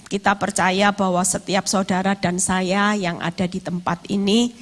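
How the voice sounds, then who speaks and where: A middle-aged woman with an East Javanese Indonesian accent preaching a sermon emphatically, her voice slightly hoarse. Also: medium pitch, level tone, measured pace. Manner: emphatic, with rhetorical questions and acted-out quotes. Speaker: evangelist, guest preacher.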